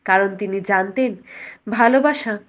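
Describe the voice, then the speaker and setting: A woman's voice: medium pitch, a little slow. A woman storyteller reading a scripted fairytale as narration for a bedtime story.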